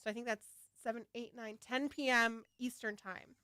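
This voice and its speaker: A woman's voice: a little high, steady tone, normal speed, bright, forceful tone, clear, resonant tone, no room sound, studio quality. A woman hosting a casual livestream for her shop, chatty and a little scattered, with a slightly nasal voice from a head cold. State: getting over a head cold. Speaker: yarn shop owner hosting a launch livestream.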